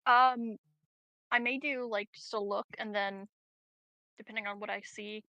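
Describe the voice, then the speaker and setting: Bright, creaky-voiced woman playing a tabletop game. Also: medium pitch, steady tone, unhurried, dry recording, muffled recording. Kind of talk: casual game chat.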